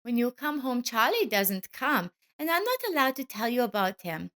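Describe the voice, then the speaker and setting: A woman's voice: a little high, sing-song, moderate pace, a bit bright, voice pushed up. A woman voicing a small, earnest and affectionate boy who speaks simply and sweetly in a narrated story. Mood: innocent, chatty. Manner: simple childlike speech, solemn and sweet.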